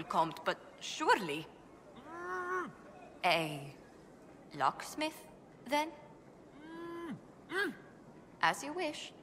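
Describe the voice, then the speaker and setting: A woman's voice: high-pitched, fairly bright tone, slight background noise, heavily acted. An actress playing a refined, earnest noblewoman diplomat in a fantasy game cutscene, polite, formal and articulate. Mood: flustered, apologetic. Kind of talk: scripted video game cutscene acting. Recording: long hall reverb, faint background ambience.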